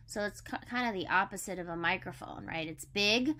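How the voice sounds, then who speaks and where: A woman's voice: mid-range voice, fairly quick, sharp tone. A woman elementary school teacher giving a vocabulary lesson to children, explaining step by step with simple examples.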